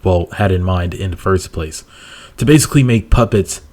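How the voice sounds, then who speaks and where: A man narrating TV show commentary in wordy, somewhat halting explanations. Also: low-pitched, darkish tone. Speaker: video essay narrator.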